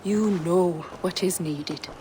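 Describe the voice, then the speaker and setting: A woman's voice: fairly low pitch, slow pace, mellow, rough recording. An actress playing a wise woman druid, grave and knowing in her speech. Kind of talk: video game dialogue. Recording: faint background noise.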